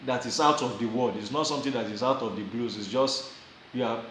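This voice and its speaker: A man's voice: mid-range voice, brisk, a bit bright, a little hiss or hum. Nigerian man in an echoey room. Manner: repeats and restarts phrases, chuckles while telling. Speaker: vlogger giving advice. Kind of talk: casual advice talk to camera.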